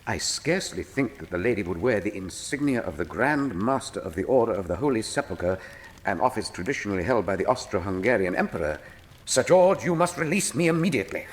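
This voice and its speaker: An actor playing a cool, witty, condescending Victorian detective in a radio drama, with a British accent and precise, dry delivery. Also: male voice, fairly low pitch, lively intonation, fairly quick, natural voice. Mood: grave, urgent. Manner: precise, dry, lofty, theatrical. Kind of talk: full-cast radio drama acting. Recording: reverberant hall sound.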